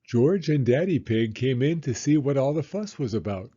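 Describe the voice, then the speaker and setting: A man reading a children's storybook aloud in an expressive style. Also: mid-range voice, normal speed, breathy edge, muffled recording. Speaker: storybook reader.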